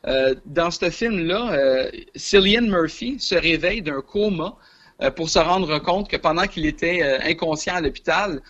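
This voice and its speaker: A man's voice: on the high side, a little slow, fairly bright tone. Quebec man, dull lo-fi line. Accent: Quebec French. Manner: hesitant, many filler sounds, chuckles. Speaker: film columnist. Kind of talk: casual radio chat.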